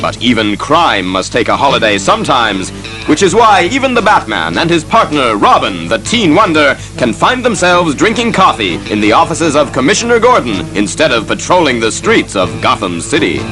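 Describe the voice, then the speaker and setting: A man's voice: medium pitch, huge pitch swings, moderate pace, low-quality recording. A man narrating a superhero audio drama in a grand, suspenseful, declamatory manner. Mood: portentous. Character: dramatic male storyteller of superhero adventures. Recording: cassette audio with music behind.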